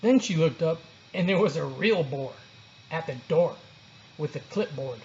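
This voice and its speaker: A storyteller narrating a children's book aloud in an expressive read-along style. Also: mid-range voice, a little slow, highs cut off, slight background noise.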